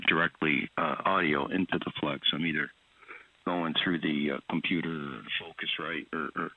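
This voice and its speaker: A man on ham radio talking through gear details, hesitant and clearing his throat. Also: rumbling low. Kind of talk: casual radio chat. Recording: tinny radio transmission.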